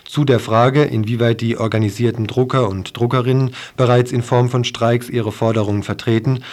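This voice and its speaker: A male radio presenter reads out a scripted news report. His voice sits at an ordinary middle pitch, and he delivers the piece as a studio newsreader would. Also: steady tone, normal speed, a little mellow.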